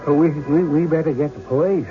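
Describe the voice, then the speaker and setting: An actor playing a stubborn, excitable rural card player in folksy country talk for an old-time radio drama. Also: male voice, on the high side, brisk, natural voice. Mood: alarmed, insistent. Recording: dull lo-fi radio recording with music.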